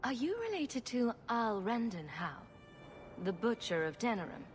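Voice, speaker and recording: A woman's voice: a little high, big pitch swings, measured pace, faint music behind. A woman playing a wry, self-assured adventurer champion in a video game cutscene, with a dry, questioning manner. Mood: probing.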